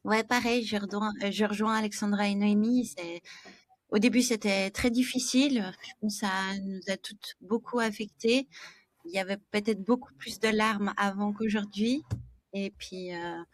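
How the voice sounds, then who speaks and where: A woman in her thirties from Switzerland speaks as a podcast guest, soft-spoken and trailing off quietly. Her voice sits at a middle pitch. The sound is dry and close. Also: fairly even intonation, moderate pace, dry recording. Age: 30s. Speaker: guest, association co-founder. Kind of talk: casual podcast interview.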